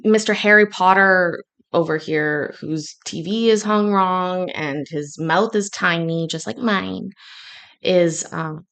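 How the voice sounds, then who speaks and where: A woman's voice: fairly low pitch, takes it slowly, fairly bright tone. A woman born in Scotland hosting a casual livestream from her kitchen, chatty and joking with a sarcastic streak. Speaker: livestream host. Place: home kitchen.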